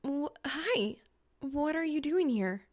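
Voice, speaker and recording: Woman voicing a high-pitched, fiery young gamer girl. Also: expressive, takes it slowly, darkish tone. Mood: flustered, hopeful. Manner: dramatic, flustered, casual slangy talk. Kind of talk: audiobook character dialogue.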